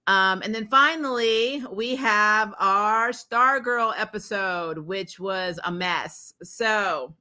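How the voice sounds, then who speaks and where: A woman hosting a casual, unscripted review, retelling scenes in slangy, animated talk and acting out reactions. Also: medium pitch, takes it slowly, hardly any pauses. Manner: animated, exclaiming, acts out reactions, laughs often; informal slangy talk with frequent "like" and "you know". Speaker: host of a TV episode review show.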